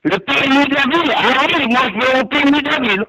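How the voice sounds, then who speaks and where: A man's voice: very high voice, animated, fast, a crowd in the background. A man arguing heatedly on a phone call, indignant and threatening, repeating himself. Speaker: prank call target.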